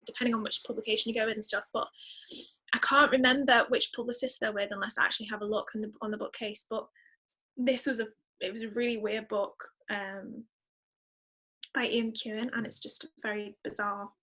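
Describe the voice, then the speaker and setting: A woman's voice: a little high, flat delivery, a little fast, pushed and bright, breathy edge, poor audio. A woman speaking as a guest in a casual interview, enthusiastic and emphatic, repeating words for emphasis. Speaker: podcast guest, musician.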